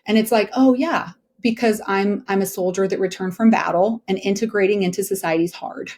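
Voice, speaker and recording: A woman's voice: mid-range voice, a little fast, a little mellow, slight background noise. A woman in her forties talking candidly and emphatically as a podcast guest, often checking that listeners agree. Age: 40s. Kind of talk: candid personal conversation.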